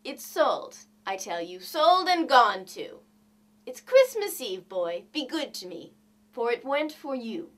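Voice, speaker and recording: Devoted girlish wife for a storybook read by a woman, emotional, pleading, fairly high and very animated. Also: slow, distant mic, full character voice. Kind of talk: storybook character dialogue.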